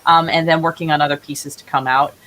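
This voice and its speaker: Female writer guest, low register, earnest and encouraging, faint hiss on the remote recording. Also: fairly even intonation, fast, fairly bright tone. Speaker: interview guest, playwright. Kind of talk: casual remote interview talk. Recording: hissy, noisy remote call audio.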